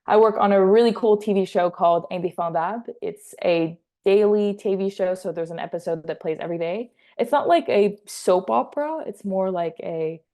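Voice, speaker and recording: A woman's voice: lowish voice, warm tone. A woman in her twenties with a French Canadian accent, giving a casual talk to students as a guest speaker and assistant editor, chatty with many fillers and restarts. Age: 20s.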